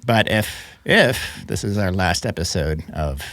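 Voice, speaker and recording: A man hosting a casual podcast, a little hoarse from a head cold, chatty and quick to laugh. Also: low voice, animated, a bit bright, no room sound, clean studio sound. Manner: chatty, laughs often, frequent restarts. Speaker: podcast host.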